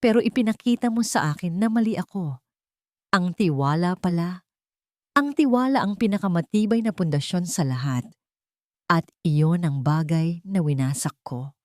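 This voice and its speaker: A woman plays a wealthy businessman humbled by love in an audio romance. The voice is low and close, with a dry sound. Every phrase is solemn, earnest and full of regret. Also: moderate pace, darkish tone, no room sound, lightly acted. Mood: anguished, regretful. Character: remorseful wealthy male CEO pleading humbly for love. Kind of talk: dramatic audio romance story.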